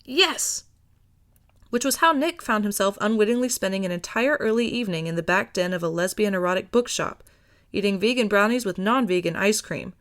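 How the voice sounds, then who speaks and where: A woman's voice: medium pitch, brisk. A woman reading scripted fiction as an audiobook narrator.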